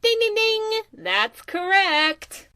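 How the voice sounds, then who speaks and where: A woman's voice: very high voice, sing-song, sharp tone, heavily acted. A woman playing a sly, smug, scheming teenage girl who teases people in an amateur anime audio drama. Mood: smug, teasing. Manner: slow, smug mockery.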